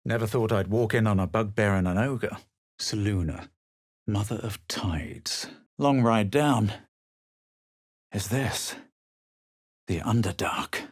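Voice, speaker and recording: A man voicing a smug, self-assured adventurer hero in a fantasy video game, with a husky voice and a measured, dramatic delivery. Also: on the low side, dry recording, studio quality. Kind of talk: video game voice lines, narration and spell calls.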